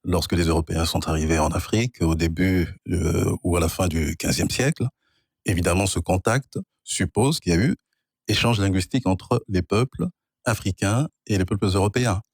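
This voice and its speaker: Un homme d'origine angolaise, de langue maternelle kikongo, qui explique la linguistique d'un ton posé et professoral. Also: male voice, low-pitched, gravelly, no echo, clean studio sound. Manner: measured, professorial, spells out words. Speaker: guest, linguist and author. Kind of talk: explanatory interview answers.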